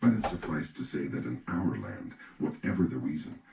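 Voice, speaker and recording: A man reading scripted audiobook narration in a measured, instructive manner. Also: very deep voice, very animated, vocal fry. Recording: echoey, distant, noisy recording.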